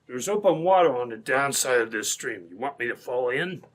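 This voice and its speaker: A surly outlaw prisoner from an old-time radio adventure, acted by a man. His delivery is gruff and defiant, very animated in pitch around a middle register. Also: normal speed, close to an everyday voice. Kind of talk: re-created old-time radio drama acting.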